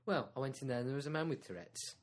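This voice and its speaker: A man co-hosting a comedy chat, answering with dry, deadpan one-liners. He talks quickly in a plain mid-range voice. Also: medium pitch. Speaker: comedy podcast co-host.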